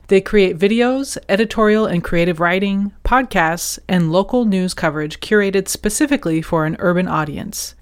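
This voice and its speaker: A podcast host from Washington State reading a scripted introduction and opening an interview in a measured way. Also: mid-range voice.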